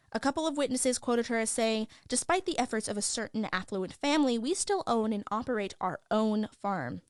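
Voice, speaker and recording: A gossipy, opinionated young school reporter, played by a woman, reading the news in a fairly high voice. She is chatty and sardonic, as if sharing secrets. The sound is very dry, with no room on it. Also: no echo. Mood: gossipy, measured. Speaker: host of a school news show. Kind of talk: scripted news podcast narration.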